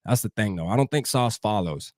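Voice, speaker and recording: A man's voice: on the low side, lively intonation, brisk, dry recording, studio quality. A man from New Jersey hosting a fan show, talking loosely and profanely straight to his live chat. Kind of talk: casual livestream sports talk.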